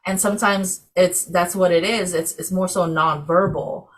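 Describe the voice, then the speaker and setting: A woman's voice: on the low side, fairly even intonation, normal speed. A woman from Samoa leading a casual class discussion over a video call, chatty, with many fillers. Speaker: class facilitator.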